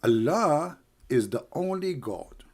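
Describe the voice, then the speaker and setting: A man's voice: a little high, lively intonation, takes it slowly, darkish tone. Sarcastic man with an Arabic accent. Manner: sarcastic, mocking, broken English grammar. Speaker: online religious debater. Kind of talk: combative commentary.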